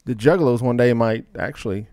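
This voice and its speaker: A man's voice: mid-range voice, brisk, darkish tone, no room sound, clean studio sound. A man co-hosting a podcast, talking in a casual, opinionated and rambling way. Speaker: podcast co-host.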